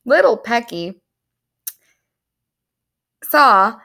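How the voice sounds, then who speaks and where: A woman reading a story aloud to young children in an animated, expressive storytelling manner. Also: on the high side, extremely slow, gravelly. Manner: animated, explains words to young listeners.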